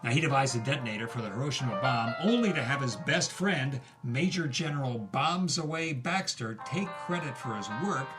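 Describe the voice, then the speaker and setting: Man, host, mid pitch, storytelling narration, casual, loud music underneath. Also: lively intonation, normal speed, hardly any pauses. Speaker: show host and scene narrator.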